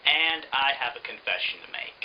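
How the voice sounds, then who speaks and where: Man, book reviewer, very bright forceful voice, lively swooping pitch, sarcastic, emphatic, light hiss. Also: moderate pace, low-quality recording. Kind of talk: opinionated video book review.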